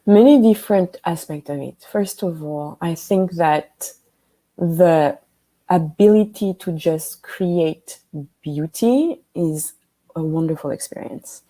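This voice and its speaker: Woman, French accent, low voice, mellow tone, reflective pace with pauses, video call. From France. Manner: thoughtful, with frequent pauses and fillers. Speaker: guest. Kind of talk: conversational interview. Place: home room with a piano.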